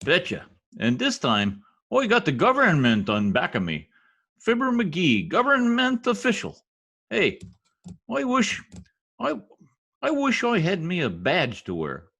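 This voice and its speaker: A man's voice: high voice, wildly swooping pitch, unhurried, fairly bright tone, breathy edge, full character voice. A man playing a cocky, bumbling middle-aged husband in a folksy, slangy, self-important way, in 1950s radio comedy style. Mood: eager, boastful.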